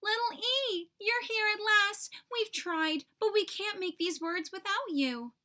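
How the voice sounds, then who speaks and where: A woman voicing small, excitable, anxious young alphabet letters from a children's storybook, exclaiming in a childlike way. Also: very high voice, a little slow, pitched above the performer's own voice, full character voice. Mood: excited, amazed.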